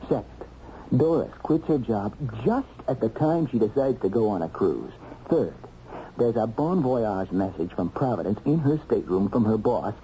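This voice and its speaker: An American actor playing a dogged, wry investigator in a radio mystery drama, probing and emphatic. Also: male voice, fairly low pitch, sing-song, moderate pace, dark tone. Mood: insistent. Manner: probing, hesitant lead-ins, emphatic deductions. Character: dogged, wry male investigator cracking a murder case. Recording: dull, noisy old radio recording.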